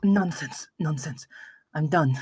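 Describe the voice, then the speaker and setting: A woman playing a dying female warrior monk at a script table read, bitter and weary, with a husky, breathy voice. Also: deep voice, takes it slowly, darkish tone, dry recording, studio quality, exaggerated voice. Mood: scornful. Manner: weary, scornful, broken by coughs and gasps.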